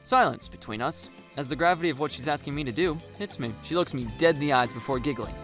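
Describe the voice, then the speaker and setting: A woman reading scripted first-person story narration in an expressive way. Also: low-pitched, fast talker, soft background music. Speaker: audiobook narrator.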